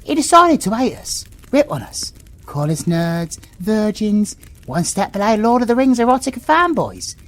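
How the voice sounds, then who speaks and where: A British woman playing a wry, good-natured merrymaker in an animated manner. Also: mid-range voice, normal speed, natural voice. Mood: wry pity.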